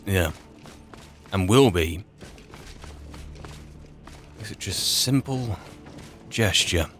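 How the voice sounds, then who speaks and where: A man improvising in-character roleplay in a fantasy game, musing aloud in a halting way with pauses. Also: low voice, animated, fairly bright tone. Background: game music.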